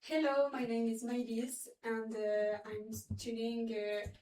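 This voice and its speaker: An eighteen-year-old French woman speaks hesitant English with a French accent, in a flat, dark voice. She sits away from the mic in an echoey room with faint noise behind. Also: on the high side, slow, recorded from a distance. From France.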